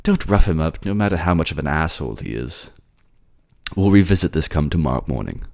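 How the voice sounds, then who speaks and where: A man's voice: rumbling low, animated, brisk, vocal fry, darkish tone, heavily acted. A man voicing a protective, affectionate prince in an audio romance drama, with theatrical, courtly phrasing and a put-on accent. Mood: exasperated, reassuring. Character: protective, tender prince soothing his beloved, scolding rivals.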